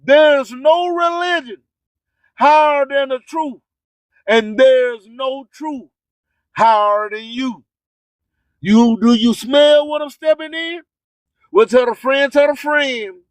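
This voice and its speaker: Real high voiced guy, radio host preaching street wisdom in rhymes, slow n emphatic, dry sound. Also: dry recording, rough recording. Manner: preachy, emphatic, repeats slogans; streetwise slang with swearing. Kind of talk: motivational radio monologue in rhymed catchphrases.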